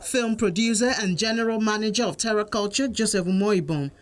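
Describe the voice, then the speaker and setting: A woman's voice: mid-range voice, a little fast. A woman with a Nigerian accent reading scripted narration as a news reporter.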